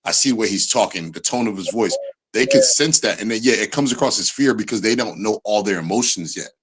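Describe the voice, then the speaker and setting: A man's voice: on the low side, lively intonation, a little fast, slightly rough, low-quality recording. A man in his thirties in casual chat, explaining his view thoughtfully with many "like"s.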